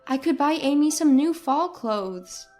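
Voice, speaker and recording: A woman narrates a scripted first-person story. Her voice sits on the high side. Music plays behind her. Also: animated, measured pace, darkish tone. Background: background music.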